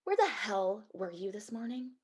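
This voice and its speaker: A woman's voice: mid-range voice, big pitch swings, normal speed, a little mellow, husky. A woman playing a brash, weary Hollywood executive assistant in a comedic table read over a video call. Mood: exasperated, scolding. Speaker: actor in a screenplay table read. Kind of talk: comedic script acting.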